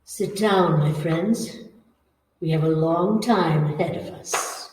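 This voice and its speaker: A woman playing an eerie old female ghost in an old-time radio horror play, calmly menacing and commanding. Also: on the low side. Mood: ominous calm. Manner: slow, commanding, ominous. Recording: noisy, cavernous echo, far from microphone.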